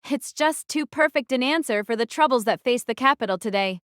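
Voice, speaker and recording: A woman's voice: on the high side, animated, a little fast, a bit bright, no room sound, clean studio sound. A woman acting in an audio drama as a troubled, defiant young survivor who narrates her own thoughts in a dramatic, introspective way. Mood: stunned, brooding.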